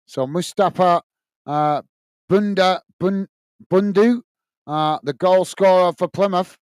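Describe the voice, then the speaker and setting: A man's voice: high voice, takes it slowly, a little mellow, clean tone, no echo. A man with a strong Lancashire accent hosting a live football watch-along, calling the play and reading out viewer comments in a chatty way with lots of "uh"s. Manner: chatty, reads out chat messages with filler "uh".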